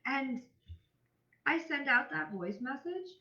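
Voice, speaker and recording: A woman's voice: high-pitched, lively intonation, slow, sharp tone. A woman talking casually and emphatically to followers on a live stream, with informal slang, as a coach for a health and fitness business. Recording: dull, roomy live-stream audio.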